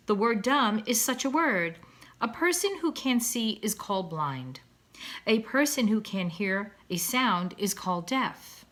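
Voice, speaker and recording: A woman's voice: fairly high pitch, fairly bright tone, heavily acted. A woman voicing a wordy father swan from a children's storybook, kindly and encouraging, speaking in a formal, emphatic, oratorical way. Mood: comforting, explaining. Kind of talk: children's storybook read-aloud.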